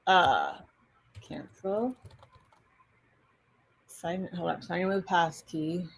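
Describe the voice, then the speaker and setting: A woman co-hosting a live stream over a video call, chatting with frequent pauses and hesitations. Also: medium pitch, fairly bright tone. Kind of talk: casual chat.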